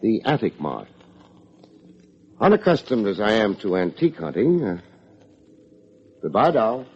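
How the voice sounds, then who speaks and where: An actor playing a wry, wordy hard-boiled private detective with dry sardonic delivery, in old-time radio drama style. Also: male voice, fairly low pitch, big pitch swings, a little slow. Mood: brisk, sardonic. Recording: muffled old radio recording with music.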